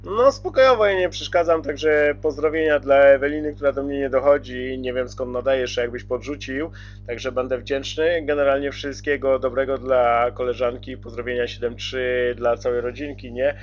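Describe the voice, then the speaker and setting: A man's voice: medium pitch, fairly even intonation, moderate pace. A man chatting courteously and casually as a radio operator, using radio jargon. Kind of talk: casual radio contact chat. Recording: dull lo-fi CB radio audio.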